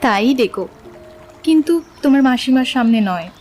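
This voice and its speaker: Gentle, shy young woman played by an actress, high voice, reserved, light music behind. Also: animated, normal speed. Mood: shy, consenting. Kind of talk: audio drama acting.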